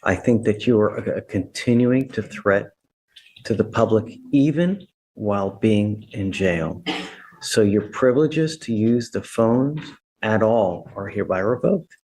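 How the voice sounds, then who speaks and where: A man speaking as a judge from the bench in a courtroom, informal and halting but stern in manner. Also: on the low side, animated, darkish tone, rough recording.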